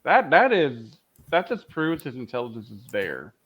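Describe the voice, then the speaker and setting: A man co-hosting a casual fan review podcast, making his points in a deliberate, emphatic way. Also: medium pitch, huge pitch swings, fairly bright tone, husky. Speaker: podcast co-host. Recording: slightly dull, lo-fi microphone sound.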